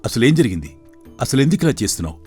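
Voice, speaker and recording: A man playing a caring, concerned friend in an animated folktale, speaking with urgency. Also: mid-range voice, fast talker, faint music behind, lightly acted.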